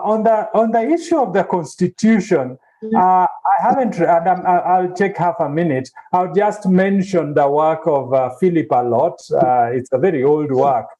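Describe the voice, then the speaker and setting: Mellow, higher-voiced man, halting and reflective. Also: poor audio. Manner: halting, reflective, with long hesitant pauses. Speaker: symposium speaker, book editor. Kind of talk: spontaneous academic discussion remarks. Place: online video symposium.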